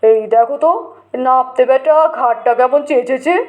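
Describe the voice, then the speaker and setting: Casting: female reader as self-important, pot-bellied gentleman poet, pompous, high-pitched, slow, comic audiobook. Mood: preening. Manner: pompous, easily flattered.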